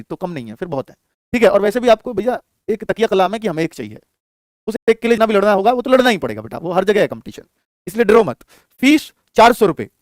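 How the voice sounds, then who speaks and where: A high-pitched man teaches like a coaching instructor. He talks fast in a dry, close recording, addressing students familiarly and posing questions that he answers himself. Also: no room sound. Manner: explanatory, addresses listeners familiarly, asks and answers own questions. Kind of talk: lesson on job vacancies addressed to students.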